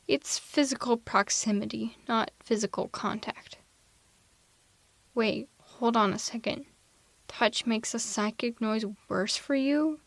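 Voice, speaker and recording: A woman playing a sardonic telepathic supervillain with a hidden tender side, in a theatrical, deliberate delivery for an ASMR roleplay audio drama. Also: a little high, no room sound. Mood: gentle, commanding.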